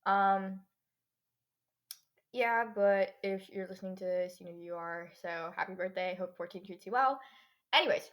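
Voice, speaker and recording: A teenage girl hosting her own podcast, chatting in a rambling way with lots of fillers while her voice is going hoarse. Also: mid-range voice, normal speed, pauses often. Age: teens. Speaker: podcast host. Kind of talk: casual solo podcast chat.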